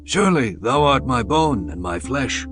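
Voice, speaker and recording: A man's voice: medium pitch, big pitch swings, measured pace, over music. A man voicing a shrewd older kinsman in a dramatized scripture reading, formal and persuasive. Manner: formal, persuasive, archaic diction. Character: shrewd older male kinsman and host, bargaining.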